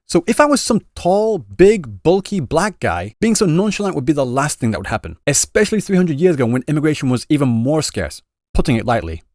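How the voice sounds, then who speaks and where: A man talking quickly and chattily in a commentary vlog, reading out translated comments and restarting phrases. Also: a little high, sing-song, darkish tone, no echo, clean studio sound. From Britain. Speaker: YouTuber explaining Japanese reactions.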